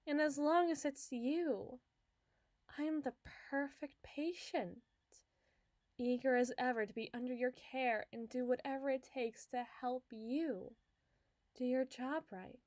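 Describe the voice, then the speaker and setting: A woman's voice: sits high, unhurried, darkish tone, dry recording, clean studio sound. A woman playing an obsessive, lovesick hospital patient in an ASMR roleplay, talking in a dramatic, coy and wheedling way. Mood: adoring, intense. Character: obsessive, clingy lovesick female patient, theatrical flirt.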